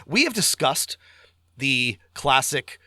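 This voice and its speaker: A man's voice: medium pitch, very animated, takes it slowly, pushed and bright, no echo. A man co-hosting a podcast in casual review chat, speaking emphatically and repeating words for stress. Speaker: podcast co-host.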